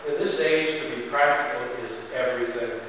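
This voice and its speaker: A man's voice: mid-range voice, fairly quick, natural voice. A man playing an earnest, pedantic young student, declaiming in an amateur dramatic reading. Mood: disillusioned. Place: large church, distant camera mic. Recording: echoey, noisy hall from far off.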